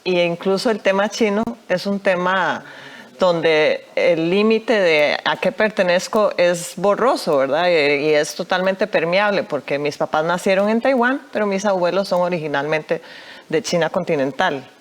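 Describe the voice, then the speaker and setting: A woman's voice: fairly low pitch, moderate pace. A young Costa Rican woman, a guest artist in a TV news studio interview, speaking thoughtfully with many fillers and restarts. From Costa Rica.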